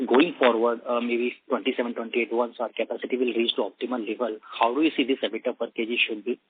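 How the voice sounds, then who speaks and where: A man's voice: mid-range voice, little pitch movement, quick pace. A man putting a question as an analyst on an earnings call. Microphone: telephone conference call. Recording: noisy, tinny phone line.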